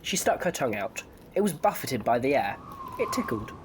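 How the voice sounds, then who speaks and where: A man's voice: medium pitch, big pitch swings, fairly quick, a little hiss or hum. A man narrating a scripted story for an audio drama in a wry, playful storytelling manner.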